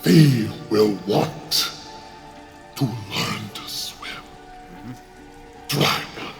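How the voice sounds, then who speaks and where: A game master playing an ominous robed male mystic in a fantasy game, gravelly, with archaic, drawn-out phrasing. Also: fairly low pitch, expressive, soft background music, pitched below the performer's own voice, heavily acted. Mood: ominous warning. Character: slow ominous robed mystic man with glowing eyes.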